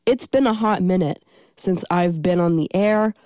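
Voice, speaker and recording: A woman's voice: lowish voice, moderate pace, mellow, dry recording. A young woman with a stuffy cold, hosting a college radio show in casual, rambling chat from a studio. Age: young adult. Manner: rambling, self-deprecating, many ums.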